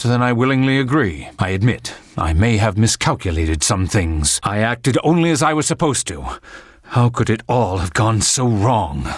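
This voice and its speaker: An actor playing a proud, calculating, rule-bound politician in a dramatic video game, with a formal and officious manner. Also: male voice, fairly low pitch, no echo, studio quality. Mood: haughty, officious, then chastened. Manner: formal, officious, measured wording.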